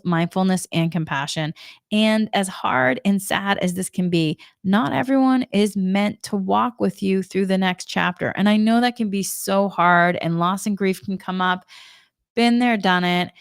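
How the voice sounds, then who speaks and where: Woman with a Boston accent, middle-aged, smooth resonant voice, dry close sound, calm spiritual guidance. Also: medium pitch, dry recording. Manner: gentle, repetitive, reflective. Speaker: spiritual energy guide, Reiki practitioner.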